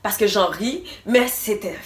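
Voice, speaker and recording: A woman's voice: medium pitch, lively intonation, a little slow. A young woman with a Quebec French accent, chatting casually and laughing through a personal story. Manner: laughing, filler-heavy storytelling. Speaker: co-host. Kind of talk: casual chat. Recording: echoey room with faint music.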